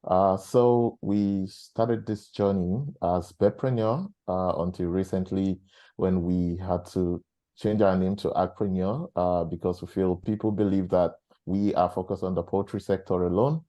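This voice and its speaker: A man's voice: low voice, fairly even intonation, a little slow, mellow. A man from Nigeria, a startup CEO, speaking on an online panel with frequent hesitant uhs. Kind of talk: panel discussion.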